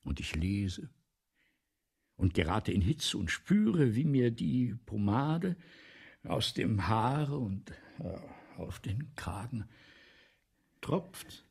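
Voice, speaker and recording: Radio play lead: a vain, excitable playwright in debt, theatrical, hugely varied pitch, husky. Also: male voice, drawn-out words, long pauses, exaggerated voice. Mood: agitated, rambling. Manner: theatrical, declaiming, abruptly dropping from exclamation to murmur. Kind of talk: German radio play monologue.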